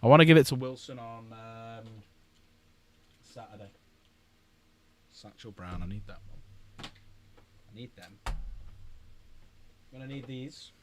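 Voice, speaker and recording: A man's voice: medium pitch, unhurried, long pauses, slight background noise. A man from England chatting casually on a live stream while painting miniatures, laughing and swearing now and then.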